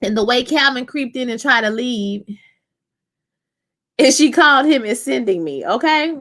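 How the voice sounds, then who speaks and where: A woman's voice: a little high, normal speed. Chatty New Orleans woman reviewing a show. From Louisiana. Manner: chatty, animated, talks to chat as "y'all". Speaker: show reviewer live-streaming. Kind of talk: casual live-chat review.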